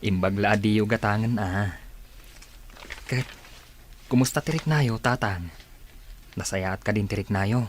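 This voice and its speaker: A man's voice: lowish voice, a little slow, pauses often, natural voice. A man playing a caring, generous grown son in a radio drama, respectful and solicitous toward his parents. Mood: welcoming. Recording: clear background ambience noise.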